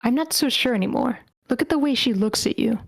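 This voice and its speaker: A woman's voice: on the high side, brisk, slightly rough. A woman playing a jealous, suspicious, brooding wife in a screenplay table read. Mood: jealous, cold.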